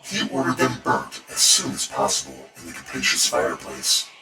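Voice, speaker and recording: Very deep-voiced man narrating horror, echoey. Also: sing-song, normal speed, noisy background. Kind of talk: dramatic bedtime horror story narration. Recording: roomy echo, distant, music behind. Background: music bed.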